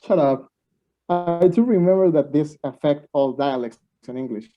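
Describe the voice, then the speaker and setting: A man's voice: on the high side, normal speed, soft background music, close to an everyday voice. A man playing a blunt, competitive, lovestruck young student in a radio play, challenging those around him. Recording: dull muffled lo-fi recording.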